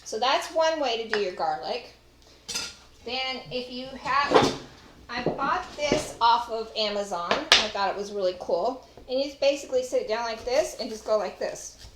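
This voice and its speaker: A woman's voice: a little high, expressive, normal speed, heavy background noise. A middle-aged woman with a Texas accent, chatting to viewers as she demonstrates a recipe in her home kitchen. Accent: Texan. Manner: chatty, talks to viewers while working. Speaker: cooking show host. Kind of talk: casual cooking demonstration. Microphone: far camera. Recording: echoey kitchen with clattering dishes.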